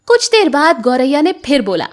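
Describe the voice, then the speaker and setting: A woman tells children's moral stories in a high-pitched voice. Her delivery is scripted narration with lively, animated swings in intonation. She sounds like a storyteller addressing young listeners. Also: moderate pace.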